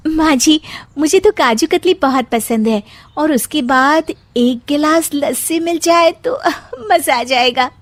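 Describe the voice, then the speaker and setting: Woman putting on an eager, high-pitched young daughter-in-law. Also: unhurried, dry recording. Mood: delighted. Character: cheerful, dutiful young daughter-in-law, a bandit's daughter.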